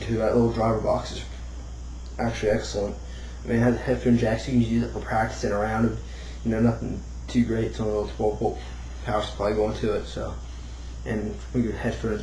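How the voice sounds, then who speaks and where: A man giving a casual, unscripted hobbyist demo, rambling and thinking out loud as he goes. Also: on the low side. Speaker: hobbyist demonstrating a homemade build. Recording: roomy echo, clear background noise.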